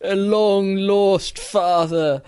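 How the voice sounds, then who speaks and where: An actor playing an earnest, excitable male suitor in a comic parody play, fussy, exclamatory and melodramatic. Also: medium pitch, extremely slow, clean tone, dry recording, clean studio sound. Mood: fearful, solemn. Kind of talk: comic stage-play acting.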